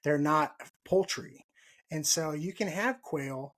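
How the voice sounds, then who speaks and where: A man's voice: a little high, slow, a bit bright. Chatty Texan man hosting a video call. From Texas. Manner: laughs often, repeats himself, friendly hedging. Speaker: podcast host. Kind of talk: casual chat on a video call. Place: home room, indoors. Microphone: video call mic.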